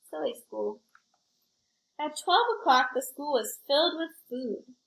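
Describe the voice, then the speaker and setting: A woman reading a storybook aloud in an expressive, child-directed way, with chatty asides to her young listeners. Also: high voice, a little slow, vocal fry, echoey room, poor audio. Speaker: school librarian reading aloud.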